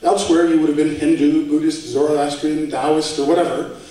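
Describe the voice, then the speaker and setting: A man's voice: on the high side, level tone, moderate pace, dark tone. A man speaking at a public debate lecture in a conversational way, with dry asides, in a lecture hall. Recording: echoey hall, voice from far off.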